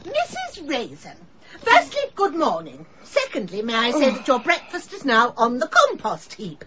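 A woman's voice: high-pitched, huge pitch swings, moderate pace, dull audio, crowd noise. An actress playing a fussy, sarcastic guesthouse landlady in a comic radio drama. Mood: prim sarcasm. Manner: prim, sarcastic, enumerating complaints.

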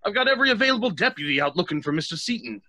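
An actor playing a gruff, flustered sheriff, husky and blustering, in old-time radio style. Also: male voice, high-pitched, animated, racing, pushed and bright, dry recording, heavily acted. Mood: exasperated. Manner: blustering, exasperated. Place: home room, video call.

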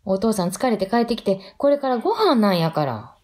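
A woman playing a brisk mother and wife with a Kansai accent in a radio drama. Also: mid-range voice, very animated, darkish tone, lightly acted. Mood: scolding.

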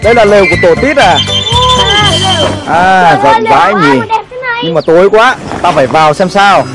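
A man's voice: sits high, lively intonation, moderate pace, loud music behind the voice. A man voicing a fussy, critical schoolteacher in a cartoon, exclaiming and passing official judgement. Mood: appraising. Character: fussy male schoolteacher judging camp tents. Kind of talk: cartoon voice acting.

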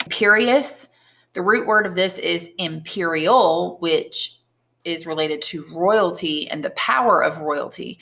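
A woman's voice: on the low side, bright, forceful tone. A woman English teacher giving a plain, didactic lesson and narrating a book. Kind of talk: explanatory lesson and audiobook narration.

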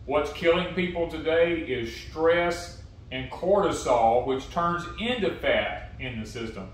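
A man's voice: a little high, animated, slow, distant mic, a little hiss or hum. Folksy older man, echoey room. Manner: folksy, plain-spoken, opinionated. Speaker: homestead channel host. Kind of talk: casual homestead vlog. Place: home dining room, indoors. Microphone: camera mic from across the room.

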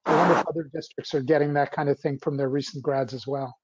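A man's voice: on the high side, steady tone, quick pace, dry recording. A man speaking informally as a school board chair in an online meeting. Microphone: video call. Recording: dull lo-fi video-call audio.

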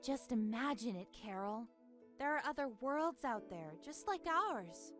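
A woman's voice: a little high, lively intonation, measured pace. A woman playing an earnest, hopeful young dragon heroine in a video game. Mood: dreamy. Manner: sincere, persuasive. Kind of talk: video game cutscene acting. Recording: game audio with music behind.

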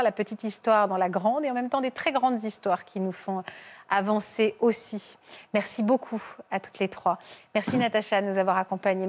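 A woman hosting a TV talk show on a studio set, gently asking questions and thanking guests in a measured way, on a lapel mic. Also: mid-range voice.

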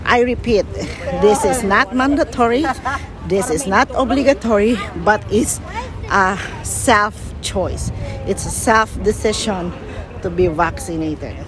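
Slow-talking earnest woman vlogging outdoors. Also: mid-range voice, a crowd in the background. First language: Filipino. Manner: earnest, enthusiastic, repeats words for emphasis. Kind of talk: walking vlog commentary. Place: outdoors on a busy city waterfront plaza. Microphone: handheld phone camera, speaker off screen. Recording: outdoor phone recording with street noise.

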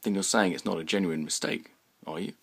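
Man acting a stubborn, truculent tradesman, low-voiced. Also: vocal fry. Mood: belligerent. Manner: blunt, slow and emphatic, informal. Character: stubborn, truculent tradesman, a sign maker, father.

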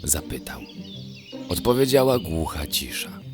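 A man tells a children's bedtime story in a very low voice. His narration is gentle, measured and descriptive, with music playing clearly behind him. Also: big pitch swings.

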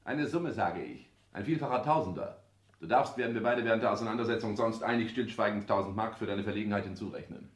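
A snobbish young careerist played by a man in an old radio comedy, in a roomy, echoing space. He talks quickly and formally, cold and calculating, with clipped, imperious precision. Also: lowish voice, close to an everyday voice. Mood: brisk, commanding. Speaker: actor in a radio play. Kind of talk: 1960s German radio comedy drama acting. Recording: roomy, echoing studio sound.

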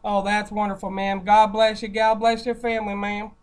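A woman's voice: mid-range voice, flat delivery, normal speed, a little mellow, lightly acted. A woman putting on a chatty, folksy church outreach caller in a prank call, gushing and deferential. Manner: gushing, folksy, deferential, repeatedly saying ma'am. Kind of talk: improvised prank phone call.

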